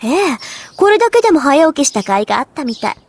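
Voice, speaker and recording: A refined, cool-headed schoolgirl teases with calm composure. Her voice is high and swings widely in pitch at a fast clip, and game music is clearly heard behind her. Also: no echo. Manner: composed, dryly teasing, ladylike. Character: poised, cool-headed schoolgirl who hides her true self.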